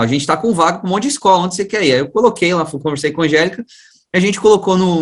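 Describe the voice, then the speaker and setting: A man with a fairly high-pitched voice and a Brazilian accent from the São Paulo region. He talks as an interview guest, recounting things informally. His way of speaking is chatty and full of everyday slang. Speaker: guest, science teacher and education researcher. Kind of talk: casual conversational storytelling.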